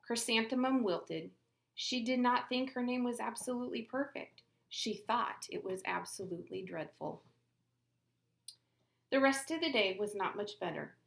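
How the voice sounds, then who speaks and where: Gravelly woman reading a storybook aloud. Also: a little high, normal speed, reverberant. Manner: expressive, storytelling read-aloud. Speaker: teacher. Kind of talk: reading a picture book aloud. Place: classroom.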